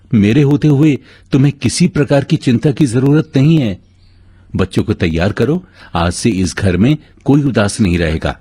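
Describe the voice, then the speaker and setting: A man voicing a kind, mysterious stranger who is earnest and reassuring, in an animated moral story. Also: mid-range voice, slightly rough, dry recording. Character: kind, mysterious male stranger turned devoted adoptive brother.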